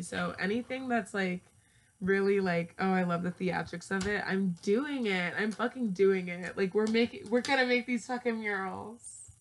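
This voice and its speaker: A woman's voice: medium pitch, vocal fry, a bit bright. A twenty-year-old woman vlogging in her bedroom, rambling and swearing as she thinks aloud. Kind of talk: casual chat to camera. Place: small bedroom, indoors. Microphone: earphone mic, laptop webcam.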